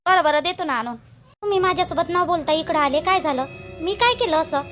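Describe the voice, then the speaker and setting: Very high-pitched, fast-talking young wife acted by a woman. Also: animated, fairly bright tone, soft background music. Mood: hurt, puzzled. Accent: Vidarbha Marathi. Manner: protesting, questioning, insistent. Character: aggrieved, stubborn young village wife. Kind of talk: family drama cartoon dialogue.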